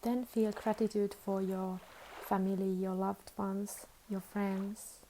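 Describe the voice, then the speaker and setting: A woman leading a guided meditation as a teacher, with soothing, unhurried instructions and long pauses. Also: mid-range voice, little pitch movement, dark tone, a little hiss or hum.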